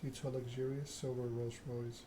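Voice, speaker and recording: A man's voice: medium pitch, little pitch movement, normal speed, noisy background. A man doing an ASMR read-aloud of a narrative game, occasionally stumbling over the written text. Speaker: gaming channel host.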